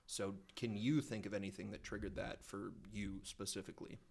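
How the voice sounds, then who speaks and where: A man with a lowish voice talks in a relaxed podcast chat. He muses slowly and stops often to restart his sentences. He sounds thoughtful rather than scripted. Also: gravelly. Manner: thoughtful, hesitant, with frequent restarts. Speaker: podcast co-host. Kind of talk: casual conversation.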